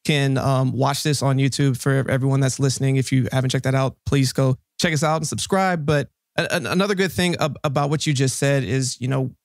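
A man's voice: mid-range voice, steady tone, a little fast, vocal fry, hardly any pauses, dry recording, clean studio sound. A young man hosting a casual interview podcast in an upbeat way, with plenty of fillers. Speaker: podcast host. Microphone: studio mic on a boom arm.

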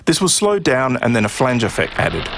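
A man's voice: medium pitch, huge pitch swings, normal speed, gravelly, noisy background. Middle-aged man presenting. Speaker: sound designer and presenter. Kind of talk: scripted explainer narration.

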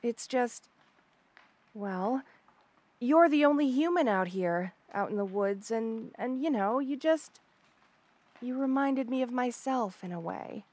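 A woman plays an obsessive monster girl, half snake, in an audio roleplay. Her voice is on the high side and recorded close and dry. She gushes hesitantly, shy yet unsettling, stammering coyly as she confides. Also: animated, takes it slowly, darkish tone, no echo. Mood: shyly obsessive. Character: obsessive lovestruck female rattlesnake lamia, shy yet unsettling. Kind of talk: intimate audio roleplay monologue.

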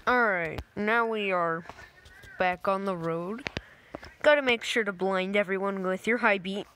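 A young woman casually commenting on a driving game, voice a little raspy from just waking up, rambling in a playful way. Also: medium pitch, unhurried, a bit bright, slight background noise. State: slightly raspy, just woken up. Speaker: gameplay video maker. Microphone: phone screen recorder.

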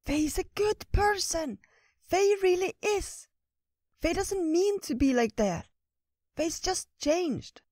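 A woman voicing a small, excitable and devoted talking squirrel in a squeaky, gushing fairytale storybook voice. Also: takes it slowly, no echo, clean studio sound, full character voice. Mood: earnest whispering.

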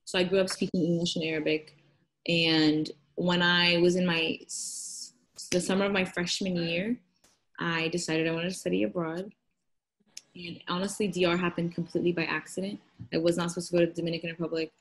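A woman's voice: on the low side, a little fast, low-quality recording. Young woman chatting on a video call. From Florida, United States. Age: young adult. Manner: chatty, animated retelling with quoted asides. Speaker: university student guest. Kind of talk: casual video call chat. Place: indoor living room. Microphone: laptop webcam on a video call.

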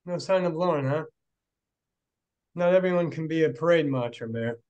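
Cheeky male joker, read by a middle-aged man, lightish higher pitch, comic screenplay read on video call. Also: normal speed, a little mellow, lo-fi sound, lightly acted. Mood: teasing. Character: cheeky, tipsy man teasing his relatives. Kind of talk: screenplay table reading.

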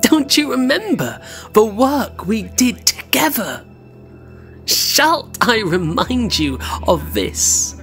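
An actor playing a mad invisible scientist in a horror audio drama, gloating, taunting and grandiose. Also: male voice, sits high, big pitch swings, takes it slowly, fairly bright tone, full character voice. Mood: mocking. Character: mad invisible male scientist turned gloating, menacing predator. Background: music.

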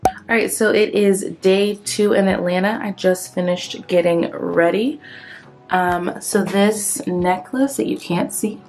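A woman's voice: medium pitch, measured pace, darkish tone, faint music behind. A young woman in her twenties giving a casual travel vlog tour of a hotel room, filming on a phone held at arm's length. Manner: chatty, listing things offhand. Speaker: travel vlogger.

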